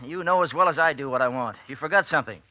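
An actor playing a bossy, exasperated factory timekeeper, scolding in folksy slang in 1940s radio style. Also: male voice, fairly high pitch, very fast, bright, forceful tone, poor audio. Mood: indignant. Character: bossy, exasperated factory time-clock man, older than worker.

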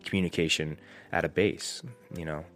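A man's voice: extremely low pitch, steady tone, normal speed, fairly bright tone. A man hosting a solo podcast, getting over a cold, thinking aloud in a rambling, reflective way with many restarts. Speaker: podcast host.

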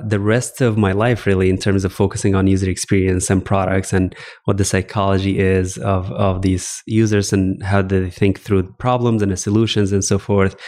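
A middle-aged man from Egypt, a startup founder telling his career story in a relaxed interview, often laughing and saying "uh". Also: fairly low pitch. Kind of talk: casual interview talk. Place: office coworking space.

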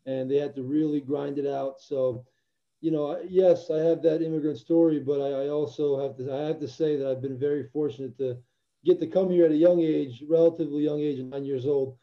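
A man's voice: a little high, steady tone, fairly quick. Young man from Albania, earnest interview guest; muffled remote audio. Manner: earnest, reflective, many restarts. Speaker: interview guest, former football player. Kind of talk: casual interview.

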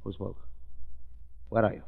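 An actor playing a deranged, vengeful villain with delusions of grandeur, ranting and accusing in a vintage radio drama. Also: male voice, unhurried, lightly acted. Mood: shaken, defiant. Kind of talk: 1940s radio drama acting. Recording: dull, hissy old radio recording.

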